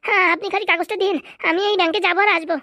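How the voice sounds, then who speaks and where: Woman doing a shrill, whiny little boy. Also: steady tone, pushed and bright, no room sound, full character voice. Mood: eager, naive. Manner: whiny, drawn-out, eager. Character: young boy acting tearful and naive for his brother. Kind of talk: comedy cartoon dialogue.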